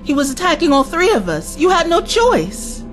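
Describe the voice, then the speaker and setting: A woman playing a young companion posing as a nurse, gentle and reassuring. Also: high voice, big pitch swings, normal speed. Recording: audio drama with faint music underneath.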